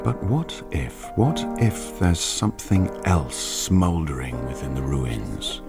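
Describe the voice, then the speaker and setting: A man playing an insinuating, menacing taunter in a radio drama, speaking slyly and deliberately. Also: rumbling low, big pitch swings, creaky, exaggerated voice. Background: music.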